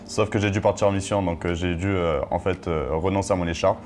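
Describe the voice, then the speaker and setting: A man's voice: low voice, fast talker. A young man with a southern French accent, answering interview questions casually with frequent hesitations in a bar. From southern France. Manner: relaxed, hesitant with many fillers. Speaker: guest.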